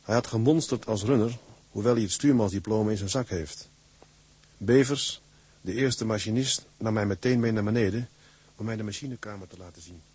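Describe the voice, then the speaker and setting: Casting: fond seaman narrator, low husky voice, close and dry, dramatised letter. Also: fairly quick, darkish tone, dry recording. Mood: fond, storytelling. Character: affectionate seaman narrating his letter home to his wife.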